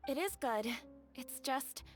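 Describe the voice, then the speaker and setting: A woman voicing a gentle, sincere, grateful young bride-to-be in a video game. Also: high-pitched, animated, slow, soft background music. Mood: sincere, troubled.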